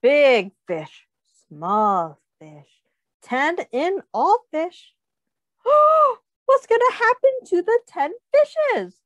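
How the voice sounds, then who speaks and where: Slow, sing-song woman reading to children. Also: very high voice, sing-song, no room sound, muffled recording. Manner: deliberate, explaining, asks the listener questions. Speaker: teacher reading a picture book aloud. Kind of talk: read-aloud for young children.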